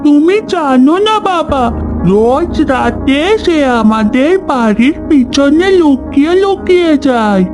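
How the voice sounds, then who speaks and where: A woman's voice: sits high, animated, slow pace, over music. A woman voicing a young, watchful village girl in a cartoon, speaking in a confiding manner. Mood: confiding, alert. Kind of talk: cartoon dialogue.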